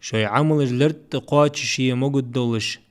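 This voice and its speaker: A man voicing a grown male figure in a dubbed animated family cartoon. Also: medium pitch, normal speed, close to an everyday voice. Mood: even. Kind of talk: cartoon dubbing.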